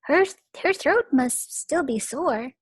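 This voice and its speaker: A woman voicing an excited, rowdy class of young children in a high, gleeful, squealing voice. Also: slow pace, no echo. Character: excited rowdy schoolchildren, mixed group.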